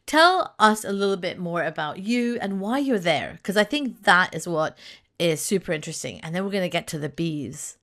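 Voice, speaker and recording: A woman's voice: on the low side, expressive, moderate pace, a bit bright. A woman hosting a podcast interview, chatting conversationally and steering her guest toward new questions. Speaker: podcast host.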